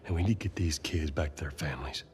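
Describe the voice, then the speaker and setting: With some ambient noise behind him, a middle-aged man in a cinematic game. His voice is very low and gruff. He is a weary, guarded father figure who answers in terse, measured phrases. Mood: firm, guarded. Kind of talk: naturalistic cinematic game acting.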